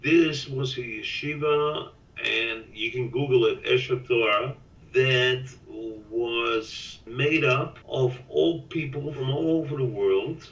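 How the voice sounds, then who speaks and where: An older man, a father, talks candidly with his son in a documentary interview, halting and repeating himself. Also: mid-range voice, a bit bright, recorded from a distance. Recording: echoey room, away from the mic.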